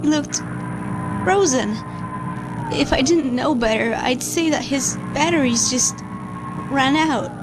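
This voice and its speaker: A high-voiced, anxious female scientist played by a woman records an analog horror audio log. She speaks slowly and haltingly, stammering with guilt. Music plays clearly behind her. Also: rough recording. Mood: haunted, uneasy.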